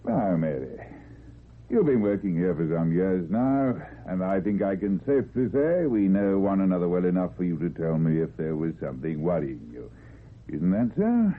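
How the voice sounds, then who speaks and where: A paternalistic, fussy male boss lectures with formal condescension. His low voice is husky and swings widely in pitch. It comes through thin and narrow, like an old radio drama. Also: very animated, normal speed. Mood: patronising.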